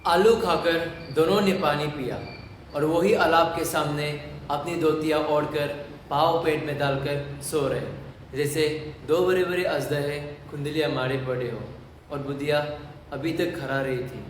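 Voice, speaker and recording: A man's voice: a little high, fairly even intonation, unhurried. A man giving scripted narration of a story for an audio play. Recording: very echoey room, faint noise.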